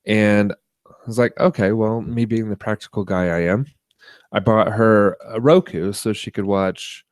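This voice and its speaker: A man co-hosting a podcast, chatting casually about tech and media in a halting, rambling way. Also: lowish voice, dry recording, clean studio sound. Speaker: podcast co-host.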